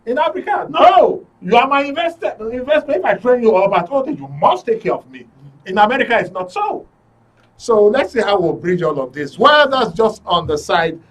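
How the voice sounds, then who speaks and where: A man's voice: very high voice, sing-song, moderate pace, recorded from a distance, rough recording. A man with a Nigerian accent hosting a live political discussion, arguing emphatically and repeating words for stress. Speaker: talk show host. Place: radio and TV studio.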